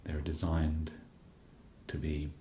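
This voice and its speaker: An English man in an ASMR roleplay as a shop genius, speaking softly and hesitantly with many fillers and a dry, self-deprecating humour. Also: extremely low pitch, completely flat delivery, slow pace, roomy sound, slight background noise. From England.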